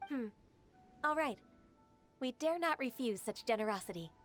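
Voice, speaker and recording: A woman voicing a gentle, reliable young woman secretary in a video game, who speaks softly, politely and modestly. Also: on the high side, expressive, moderate pace, close to an everyday voice. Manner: soft-spoken, polite, modest. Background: game music.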